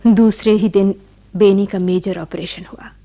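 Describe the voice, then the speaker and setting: An actress playing a grieving widow who recounts her tragic past in a radio play, slow and sorrowful. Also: medium pitch, fairly even intonation, mellow, lightly acted. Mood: solemn recollection. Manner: slow, sorrowful, breathy delivery. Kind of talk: dramatic radio play narration.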